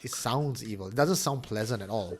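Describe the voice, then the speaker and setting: A man's voice: lowish voice, fairly quick. A man hosting a casual panel discussion, talking conversationally. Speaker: show host.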